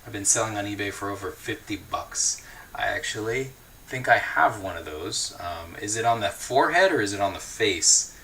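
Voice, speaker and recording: A man hosting a livestream, chatting casually and reading viewer comments in a relaxed, easygoing way, laughing often and thinking aloud with fillers. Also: fairly low pitch, normal speed, slight background noise. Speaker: livestream host.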